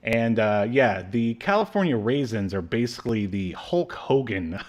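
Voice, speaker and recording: A man's voice: on the low side, expressive, normal speed. A man in his thirties co-hosting a podcast from home, chatting casually and hesitantly. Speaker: podcast co-host. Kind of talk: casual chat reviewing old media. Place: indoor room at home, video call.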